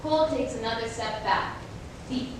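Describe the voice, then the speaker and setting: A young woman giving dramatic scripted narration on stage with deliberate delivery. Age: young adult. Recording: echoey room, distant mic, noisy rumble.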